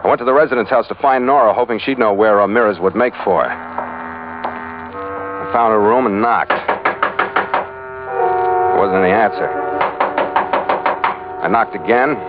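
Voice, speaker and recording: A man's voice: lowish voice, measured pace, long pauses, heavy background noise, close to an everyday voice. An actor playing a tough, sardonic sea captain who narrates his adventure in terse, hard-boiled old radio style. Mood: grim narration. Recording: muffled vintage radio recording with loud music.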